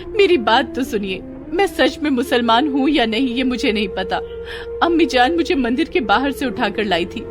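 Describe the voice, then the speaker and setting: Woman acting timid devout young wife, pleading, very expressive. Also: fairly high pitch, a little slow, music in the background, voice pushed up. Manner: earnest, pleading. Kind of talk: dramatized story acting.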